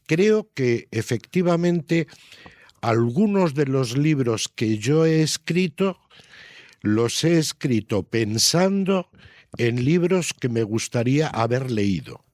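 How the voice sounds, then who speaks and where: A man's voice: medium pitch, expressive, slow pace, darkish tone, no room sound. Man explaining, closely recorded and dry. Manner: didactic, checks in with rhetorical tags. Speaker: interviewed children's book author. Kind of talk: conversational interview, storytelling explanations.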